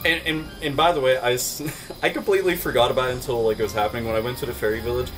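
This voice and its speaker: A man's voice: mid-range voice, racing, faint music behind, low-quality recording. A man in his thirties hosting a casual chat among friends, swearing freely and laughing often as he talks. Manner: profane, animated, laughing often. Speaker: host. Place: indoor room with wood wall backdrop.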